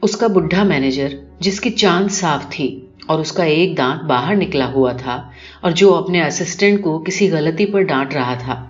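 A woman reading a literary short story as scripted first-person audiobook narration. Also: low-pitched. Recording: dull lo-fi recording with music behind. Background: clear music.

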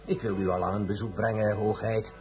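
An actor playing a courteous, insinuating gentleman of political intrigue in a period radio drama, formal and deferential, with a husky voice. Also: fairly low pitch, level tone, a little fast, faint music behind, natural voice. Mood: ingratiating.

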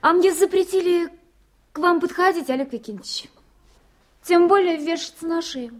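A woman's voice: very high-pitched, normal speed. An actress playing an impulsive, devoted young woman in love in an emotional Soviet radio drama, speaking with pleading fervour. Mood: insistent devotion. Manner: impassioned, pleading. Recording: 1980s radio play with prominent music.

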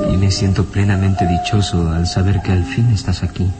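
An actor playing a possessive, brooding nobleman in an intense, melodramatic old radio drama style. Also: low voice. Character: possessive, brooding nobleman suitor hiding a dark secret. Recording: vintage radio serial with music behind.

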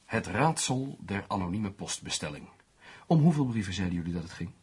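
An actor playing a skeptical, teasing newspaper journalist who reasons things out in a sardonic way, in a Dutch radio play. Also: male voice, deep voice, big pitch swings, fairly quick, a little mellow, slightly rough, exaggerated voice. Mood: pondering, analytical. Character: skeptical, teasing male newspaper journalist, analytical amateur sleuth.

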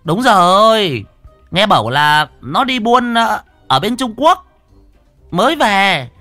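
A man voicing excited villagers gossiping and accusing. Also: high-pitched, slow pace, dry recording, soft background music, pitched above the performer's own voice, full character voice.

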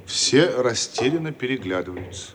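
A man's voice: mid-range voice, lively intonation, moderate pace, slight background noise, close to an everyday voice. A man as the narrator of a radio play, reading scripted stage directions. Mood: animated narration.

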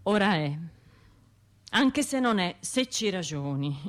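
An actress playing an anxious, self-doubting trauma survivor in a radio drama, talking to herself in broken, halting phrases with a Tuscan accent. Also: female voice, mid-range voice, sing-song. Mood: distressed, despairing. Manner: halting, fragmented, self-interrupting inner monologue.

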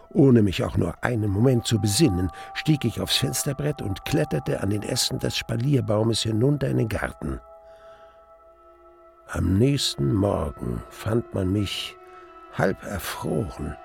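A brooding male narrator in a radio play, recounting his past in a rather low, creaky voice. Soft music runs behind the storytelling. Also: expressive, a little slow, full character voice. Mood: tense. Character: brooding male narrator recalling his lost youth and love. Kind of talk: scripted first-person radio drama narration.

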